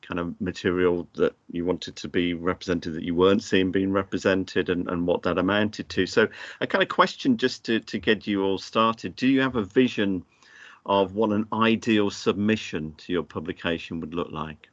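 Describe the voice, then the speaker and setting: A man chairing a panel discussion, posing questions in a hesitant, halting way. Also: low-pitched, no room sound, lo-fi sound. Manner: hesitant, halting, many fillers.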